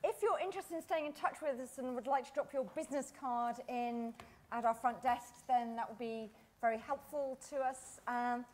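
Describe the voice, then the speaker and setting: Higher-voiced woman chairing a Q&A. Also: a little mellow. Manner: brisk, many short "mm" backchannels. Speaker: event chair. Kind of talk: chairing a Q&A, winding up. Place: conference room with audience.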